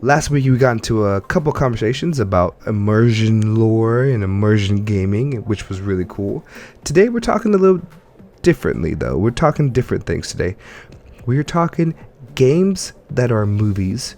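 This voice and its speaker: A man's voice: on the low side, expressive, moderate pace, a little mellow, slight background noise. A man hosting a casual gaming podcast, chatting while congested from allergies. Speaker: podcast host.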